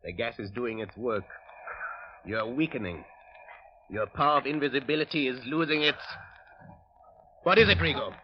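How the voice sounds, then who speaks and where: An actor playing a scheming, arrogant villain doctor in a 1930s radio drama, speaking coldly. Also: male voice, mid-range voice, moderate pace, pauses often, lo-fi sound, a little hiss or hum, natural voice. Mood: gloating.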